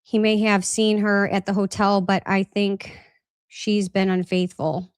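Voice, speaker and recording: A woman's voice: medium pitch, level tone, measured pace, clear, resonant tone, dry recording, clean studio sound. Congested woman chatting on a live stream. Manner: casual, rambling, reads viewer comments aloud. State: congested with a slight cough. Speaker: podcast host. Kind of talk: live-stream recap and chat Q&A.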